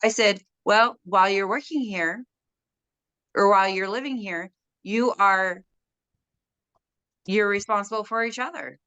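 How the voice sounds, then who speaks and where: A young woman with a loud voice, casually telling a story about a stubborn resident at work and acting out both sides. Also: mid-range voice, unhurried, pauses often, smooth, no room sound, muffled recording. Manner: animated storytelling, quoting others. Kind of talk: casual conversation.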